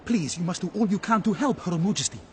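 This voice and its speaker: A man voicing an anxious, deferential royal courtier in an animated fantasy game, formal and flowery. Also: sits high, fast, a little hiss or hum. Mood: anxious pleading. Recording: music behind the voice.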